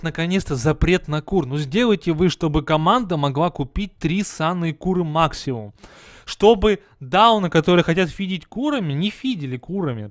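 A man's voice: a little high, no echo, studio quality. A young man reviewing a game patch in casual commentary, joking and firing rhetorical questions at the viewer. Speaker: gaming video host.

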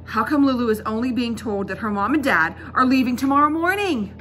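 A middle-aged woman reading a children's book aloud as a teacher, expressive, emphatic and instructive. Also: a little high, brisk. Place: indoors in a school classroom. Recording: classroom recording with background noise.

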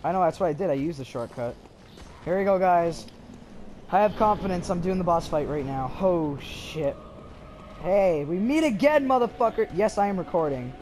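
Excitable young man yelling at a game. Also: sits high, normal speed, slight background noise. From Canada. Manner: excitable, swears and yells at the game. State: slightly hoarse from yelling. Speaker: gameplay streamer. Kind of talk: casual gameplay commentary.

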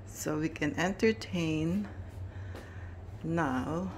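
A woman giving a casual, halting tour of her newly finished basement, filming on a phone indoors. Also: low-pitched, slight background noise. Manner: hesitant, many restarts and fillers. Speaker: home vlogger. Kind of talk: casual home tour narration.

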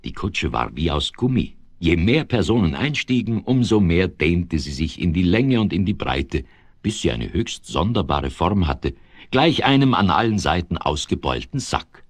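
A man's voice: sits low, very animated, unhurried, slightly rough, no echo, full character voice. A man narrating a children's audio play as a scripted storyteller.

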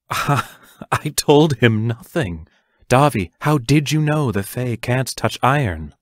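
Actor playing amused wry captive man, teacherly, unhurried, audio drama. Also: mid-range voice, animated, no room sound, studio quality. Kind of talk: audio play acting.

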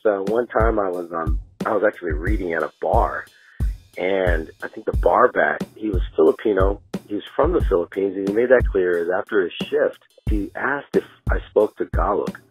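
A man's voice: low-pitched, normal speed, rough recording. A man from Southern California leaving a voicemail, telling a story in a hesitant, casual way. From Inland Empire, California. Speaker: voicemail caller. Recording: voicemail with music underneath.

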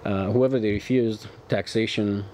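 Guest, 50s man, Romanian accent, earnest explainer, low dark voice. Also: fairly even intonation, moderate pace, a little hiss or hum. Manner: earnest, explanatory, many fillers. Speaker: guest, author's son. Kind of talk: studio interview. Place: dark TV studio set, indoors.

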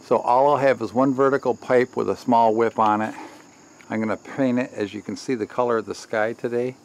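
A man's voice: mid-range voice, steady tone, brisk, slight background noise. A middle-aged man giving a casual, folksy hands-on how-to, thinking aloud as he works. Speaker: DIY workshop host. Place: outdoors by a house and in a barn workshop.

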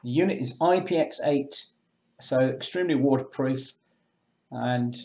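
Measured male product presenter. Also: medium pitch, a little mellow, poor audio. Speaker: product presenter for a marine chandlery. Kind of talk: product demonstration, semi-scripted explanation. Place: indoors, small room with block walls at a table.

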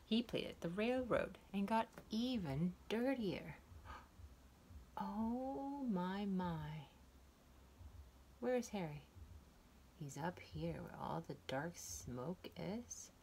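A young woman reading a picture book aloud to small children in a playful, expressive storytelling style with little asides. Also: on the low side, slow pace, gravelly, long pauses, slight background noise. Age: young adult. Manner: playful, expressive, exclaiming and questioning. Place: indoors, wood-ceilinged room.

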